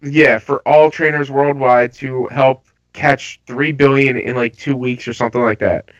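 A man with a stuffy, under-the-weather voice, chatting casually about games with halting restarts. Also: medium pitch, steady tone. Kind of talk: casual gaming chat. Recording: slightly dull lo-fi audio.

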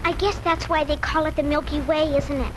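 Earnest, inquisitive farm child, girl, played by a female, very high voice, 1940s radio acting, noisy old recording. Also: steady tone, brisk, lightly acted. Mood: curious. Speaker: radio drama actor.

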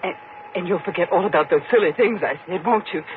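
A woman's voice: fairly low pitch, natural voice. An actress playing a terrified, guilt-ridden, pleading young woman who has killed, in breathless 1950s radio acting style. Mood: relieved, apologetic. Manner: overwrought, breathless, halting. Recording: noisy phone-like 1950s radio recording.

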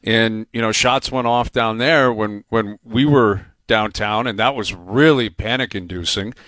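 A man hosts a sports talk radio show, his voice sitting low and close on a dry studio mic. He talks in a quick, chatty, rambling way, rolling from one story into the next. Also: a bit bright, no echo.

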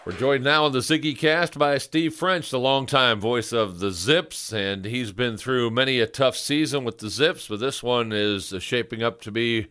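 A man's voice: medium pitch, moderate pace, hardly any pauses, fairly bright tone, smooth. A man hosting a sports podcast, speaking in an interview style.

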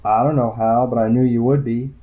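A weary, sniffling young seeress speaks softly and earnestly, as a male narrator puts her on, his voice lifted well above its usual register. The sound is thin and tinny, like a voice heard down a phone line. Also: mid-range voice, steady tone, fairly quick, voice pushed up, lightly acted. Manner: soft, tired, earnest.

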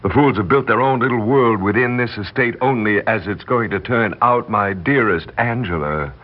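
An actor in a 1960s radio drama playing a scheming, persuasive male servant with an insinuating, deliberate manner. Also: fairly low pitch, close to an everyday voice. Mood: smug, scheming. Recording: dull, noisy 1960s radio recording.

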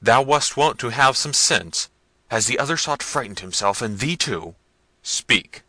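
A man's voice: medium pitch, animated, unhurried, sharp tone, dry recording, voice pushed up. A man voicing a hot-tempered, commanding prince in a gothic novel reading, barking impatient questions and orders. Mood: exasperated, angry.

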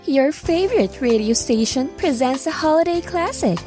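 A woman announces a scripted radio station promo. Her voice is notably high, with faint music underneath. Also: expressive, normal speed.

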